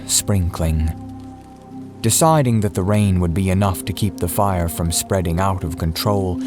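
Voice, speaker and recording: Male, low pitch, horror audiobook narrator, measured and deliberate delivery, clear music bed underneath. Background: background music.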